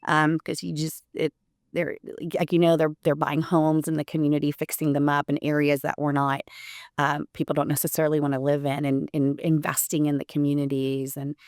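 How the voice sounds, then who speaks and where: A low-pitched woman with a gravelly edge, smooth and resonant underneath, talking earnestly as a guest on an interview podcast. She is in her forties, grew up partly in Puerto Rico, and her thoughts come with frequent fillers and restarts. The recording is close and dry. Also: flat delivery, brisk, a little mellow, no room sound. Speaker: attorney, guest. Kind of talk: casual interview conversation.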